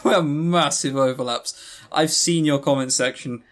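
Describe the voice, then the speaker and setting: A man's voice: fairly high pitch, normal speed, fairly bright tone. A man co-hosting a podcast in casual, unscripted chat, with wry, self-deprecating humour. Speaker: podcast co-host.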